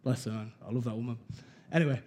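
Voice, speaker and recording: A man's voice: mid-range voice, fast talker, darkish tone. Man from Wales, candid church testimony speaker, acts out the voices in his story. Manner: candid storyteller, acts out quotes, laughs at himself. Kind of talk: personal testimony talk.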